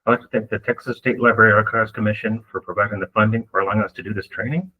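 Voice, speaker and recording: A man's voice: lowish voice, fairly even intonation, very fast, breathy edge. A man moderating a webinar, opening it with a brief, casual welcome and a few fillers. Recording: phone-like thin audio.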